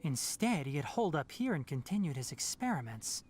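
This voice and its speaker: A man voicing a calm young forest scholar in a video game, measured and pondering. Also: fairly high pitch, noisy background. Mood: thoughtful.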